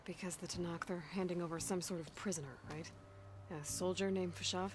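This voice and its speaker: A woman's voice: lowish voice, fairly even intonation, fairly quick, background noise, close to an everyday voice. Woman acting as a blunt, determined young female adventurer. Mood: businesslike. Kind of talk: video game dialogue acting. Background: game music.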